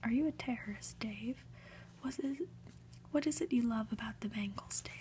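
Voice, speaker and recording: Woman acting insistent interviewer, probing whisper, soft dark tone, ASMR storybook, light background noise. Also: a little high, normal speed, close to an everyday voice. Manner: whispered, probing, repetitive questioning. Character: pressing news interviewers firing questions at a man.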